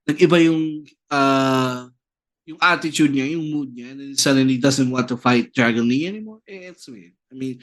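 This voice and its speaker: A man's voice: medium pitch, moderate pace. A man with a Filipino accent co-hosting a podcast, chatting in mixed English and Tagalog and building his point step by step. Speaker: podcast co-host. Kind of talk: casual chat mixing English and Tagalog.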